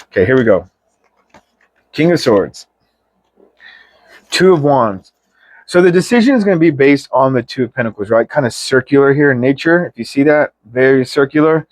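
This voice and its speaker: A man's voice: mid-range voice, animated, normal speed, long pauses, no room sound, poor audio. A man reads tarot for his viewers in an informal, chatty style, giving an emphatic pep talk with slang and mild swearing. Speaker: tarot reader.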